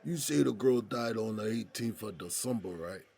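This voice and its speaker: An American man playing a streetwise, seasoned inmate in an audio drama, with a husky voice and folksy, slangy talk. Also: lowish voice, animated, moderate pace, warm tone, heavily acted. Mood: probing, insistent. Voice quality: husky, gravelly. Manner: folksy street slang, probing rhetorical questions. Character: streetwise, seasoned male prison inmate mentoring a younger friend.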